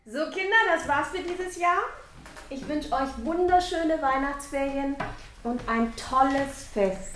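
Kindly schoolteacher: very high, unhurried, German audio drama, roomy echo. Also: female voice. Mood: cheerful. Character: kindly schoolteacher addressing pupils. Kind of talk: German children's radio play acting. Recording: echoey room, off-mic.

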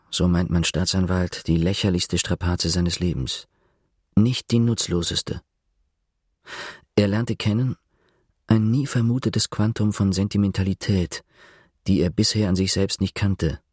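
Deep, husky male narrator. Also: fairly even intonation, unhurried, darkish tone, dry recording, studio quality. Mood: dryly ironic. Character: narrator retelling another man's confession, detached, ironic. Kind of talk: scripted literary narration in radio drama.

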